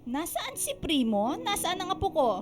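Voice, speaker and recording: A woman's voice: very high-pitched, very animated, moderate pace, faint music behind. A woman playing a bossy, curt grandmother in a radio drama. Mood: snappish. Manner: curt, demanding.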